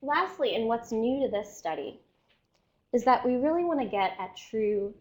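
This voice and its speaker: A woman's voice: a little high, lively intonation, moderate pace, a little mellow, lo-fi sound. Woman scientist losing her voice. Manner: explanatory, often checks in with "right?". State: losing her voice, clearing her throat. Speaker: research scientist giving a seminar. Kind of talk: academic seminar lecture.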